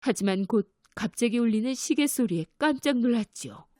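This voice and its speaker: A woman narrating a children's fairy tale in a gentle, clear storytelling manner. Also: a little high, lively intonation, moderate pace, no room sound. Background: music.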